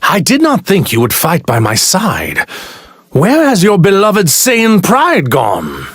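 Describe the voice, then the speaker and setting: Haughty villainous god, swooping delivery. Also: male voice, high-pitched, huge pitch swings, takes it slowly, a bit bright, husky, dry recording, studio quality, exaggerated voice. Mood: condescending menace. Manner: arrogant, disdainful, grandly formal. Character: haughty villainous god in a stolen warrior body. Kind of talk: theatrical anime fighting game voice lines.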